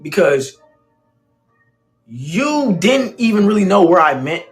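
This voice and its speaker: A man from the Mississippi Delta in a played social media clip, speaking in a measured, articulate, questioning way. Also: sits high, sing-song, soft background music.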